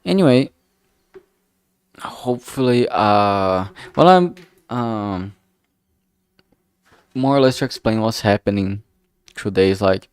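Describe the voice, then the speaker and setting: A streamer talking casually while working, thinking aloud and muttering in a halting way. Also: medium pitch, expressive, clean tone, no room sound.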